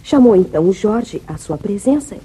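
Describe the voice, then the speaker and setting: An actress as a sorrowful young woman in an old Brazilian radio serial. Her voice is mellow and mid-pitched, dramatic and deliberate, with music behind it. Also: animated, natural voice, poor audio. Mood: sorrowful, solemn. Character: grieving, loyal young ranch woman recounting family tragedy.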